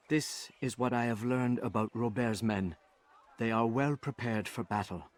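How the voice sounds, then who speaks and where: A man's voice: medium pitch, natural voice. An actor playing a male assassin informer who speaks with eager, fawning deference.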